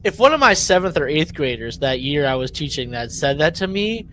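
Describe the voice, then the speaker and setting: A man co-hosting a podcast in relaxed, informal chat, with a wry and joking way of talking. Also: fairly high pitch, brisk, soft background music, low-quality recording. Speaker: podcast co-host. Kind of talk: casual chat between friends.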